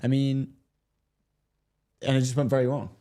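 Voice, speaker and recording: A man co-hosting a casual talk show in a dry, low-key way, also reading an ad and articles. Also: little pitch movement, normal speed, mellow. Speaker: co-host. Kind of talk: casual chat.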